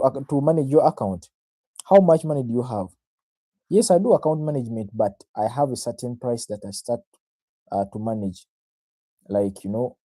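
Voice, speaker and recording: Mellow, dark-voiced Ugandan man livestreaming. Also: mid-range voice, dry recording. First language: Luganda. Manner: self-assured, addresses viewers directly, repeats phrases, checks in with "right?". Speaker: trader, livestream host. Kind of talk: informal live market analysis, explaining to viewers.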